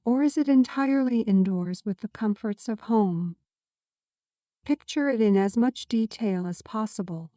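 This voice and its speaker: A woman narrating a scripted guided meditation in a soothing, measured, gently instructing manner. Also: medium pitch, no echo, clean studio sound.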